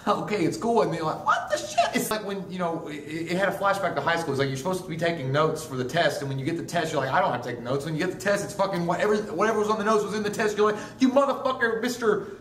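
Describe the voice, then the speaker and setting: Jokey guy rambling fast about a movie, crude asides, bit of a high voice. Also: fairly bright tone. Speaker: movie reviewer co-host. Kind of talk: casual profane review chat. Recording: roomy, distant mic with faint noise.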